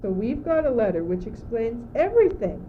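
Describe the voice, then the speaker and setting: A doubting, fretful young courtier, acted by a teenage girl with a fairly high female voice. The delivery is plaintive and theatrical, in amateur radio drama style. The old recording is dull, with faint noise behind. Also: very animated, moderate pace. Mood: puzzled. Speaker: student actor. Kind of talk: amateur school radio play.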